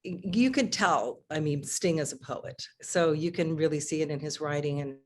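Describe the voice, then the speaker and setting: A middle-aged woman, a singer, talking casually on a video call from her living room, chatty and animated with frequent restarts. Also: deep voice, moderate pace, slight background noise. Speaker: singer and guest.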